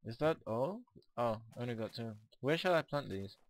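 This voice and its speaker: A man with a hoarse, fading voice, chatting casually while playing a co-op game, often hesitant and stumbling over his words. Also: medium pitch, expressive, normal speed. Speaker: co-op game player. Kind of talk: casual gameplay chat.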